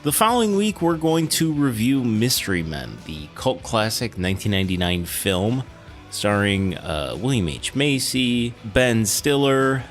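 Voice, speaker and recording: A man's voice: mid-range voice, sing-song, measured pace, soft background music. A man hosting a podcast, chatting casually about films in a wry, joking, conversational way. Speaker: podcast host. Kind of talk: casual film discussion and show announcements.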